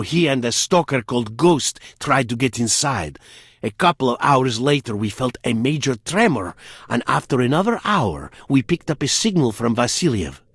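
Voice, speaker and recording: A man playing an earnest, formal scientist in a video game, speaking in a measured, explanatory way. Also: mid-range voice, breathy edge, no echo. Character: male scientist, earnest, urgent, formal lab researcher.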